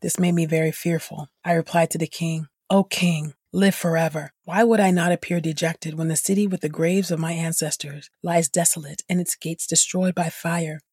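A woman reading scripture aloud as the host of an audio Bible podcast, with clearly articulated delivery. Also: sits low, fairly even intonation, normal speed, hardly any pauses, darkish tone, dry recording, studio quality.

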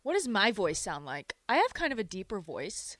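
A woman hosting a sports radio show in a studio, teasing her co-host in quick, playful banter. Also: medium pitch, big pitch swings, fairly bright tone, dry recording. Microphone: studio microphone.

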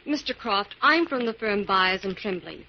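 An actress playing a plucky, inquisitive American detective's partner in 1940s radio style, eager and earnest, on a muffled old recording. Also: female voice, medium pitch, expressive, moderate pace, background noise, close to an everyday voice. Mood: polite.

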